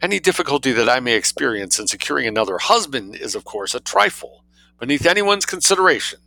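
A man playing a shrill, indignant society wife. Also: sing-song, fast, creaky, sharp tone, no echo, exaggerated voice, poor audio. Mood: bitter. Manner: shrill, dramatic, bitter. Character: indignant upper-class wife, woman.

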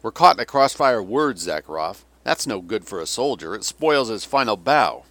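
A bitter, world-weary old Russian general, acted by a male audiobook reader, ranting and sneering with theatrical scorn. The voice is fairly low and very bright, with a dry, close sound. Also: sing-song, normal speed, no room sound. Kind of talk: dramatic audiobook character reading.

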